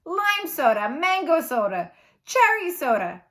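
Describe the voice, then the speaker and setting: Storybook father, played by a female reader: exuberant dreamer, proud, emphatic, raised pitch. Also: big pitch swings, unhurried, fairly bright tone, exaggerated voice. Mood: playful, exuberant. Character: big-dreaming, exuberant father of a young girl. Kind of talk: children's audiobook read-aloud.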